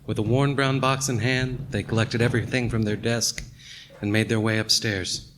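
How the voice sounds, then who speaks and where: A man's voice: mid-range voice, flat delivery, normal speed, a bit bright, a little hiss or hum, natural voice. A man playing a wistful narrator looking back, in scripted radio drama narration. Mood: matter-of-fact. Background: plucked guitar music.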